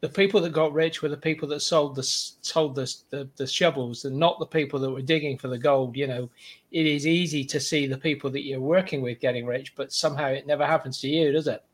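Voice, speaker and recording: A man hosting an interview podcast, his voice slightly hoarse, asking questions in a friendly way and often restarting his sentences. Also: a little high, fairly even intonation, brisk. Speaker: podcast host. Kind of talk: casual interview.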